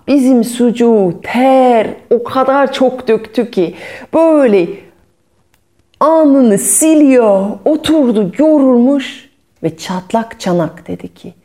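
A woman storyteller narrating a fairy tale expressively, with dramatic pauses and playful sound words. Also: fairly high pitch, expressive, warm tone.

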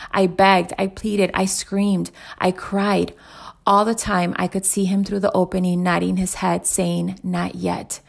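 A woman's voice: medium pitch, creaky. A woman voicing a talking teacup in an inspirational parable, plaintively and emphatically recounting its painful making. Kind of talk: inspirational parable read aloud.

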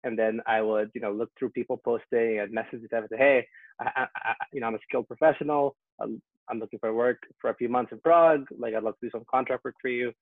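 A man's voice: medium pitch, fairly even intonation, fast, dry recording. A young man from Peru who grew up in Canada, talking casually and upbeat in an interview, with frequent ums. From Peru, raised in Canada. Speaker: guest, software engineer. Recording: tinny phone-like line, dry.

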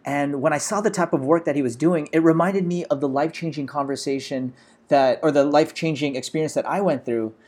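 An American man in his forties, a startup founder telling his story in a relaxed interview, full of "you know" and "uh". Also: fairly high pitch, fairly quick. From Los Angeles, USA.

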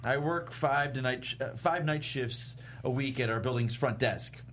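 A man playing a mopey thirty-five-year-old vampire in a comedy script read, deadpan and self-deprecating. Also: medium pitch, normal speed, a little hiss or hum, natural voice. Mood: explaining.

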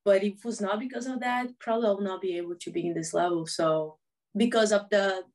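A woman's voice: mid-range voice, fairly even intonation, brisk, darkish tone. A woman in her twenties from Brazil, a footballer, chatting as a podcast guest in English learned as a second language, with small grammar slips and easy laughs. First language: Portuguese. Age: 20s. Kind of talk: casual interview chat.

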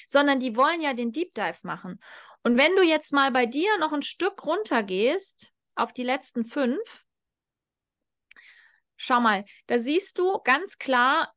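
A woman's voice: high-pitched, fairly bright tone, lo-fi sound. A woman explaining as a coach on a podcast, emphatic and direct, often adding short checking questions. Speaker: strengths coach, podcast guest.

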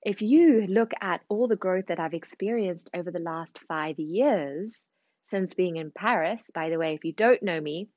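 A woman's voice: on the low side, normal speed, dry recording, lo-fi sound. Earnest South African woman coaching listeners. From Zimbabwe, raised in South Africa. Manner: earnest, reflective, repeats phrases for emphasis. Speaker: podcast host, speaking coach. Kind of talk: motivational podcast monologue.

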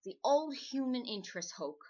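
A woman playing a brisk, pushy magazine editor in an old-time radio drama, talking in bossy slang. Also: a little high, big pitch swings, a little mellow, husky, muffled recording. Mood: eager. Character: brisk, pushy magazine editor hunting a human-interest story.

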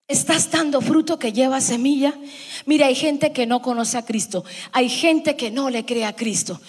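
A middle-aged woman delivers a sermon, repeating her questions for emphasis. Her voice sits high with a husky, breathy edge. The sound carries a long hall reverb. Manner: emphatic, repeats lines to the congregation. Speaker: preacher.

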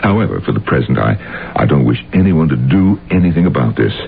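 An elderly, short-tempered master of the house in an old radio play. His voice is very deep and swings widely as he stammers out curt, anxious orders, over a tinny broadcast. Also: big pitch swings, a little fast, vocal fry, soft background music, full character voice. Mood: weary, guarded. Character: irritable, anxious elderly rich employer. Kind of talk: old-time radio drama acting.

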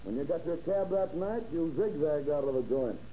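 A man's voice: on the high side, lively intonation, moderate pace, dark tone, natural voice. An actor playing a blunt, angry male taxicab boss, scolding in a 1930s radio crime drama. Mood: irritated, accusing. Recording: noisy, muffled old radio recording.

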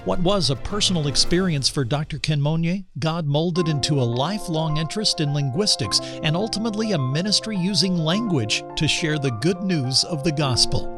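A male radio program host reading a scripted intro and asking interview questions in a measured, polished way. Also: a little high, lively intonation, music in the background.

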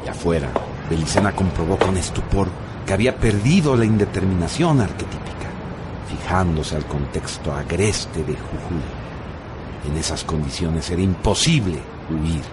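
A man giving solemn, measured scripted narration for a radio drama. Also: low-pitched, animated, music in the background, poor audio.

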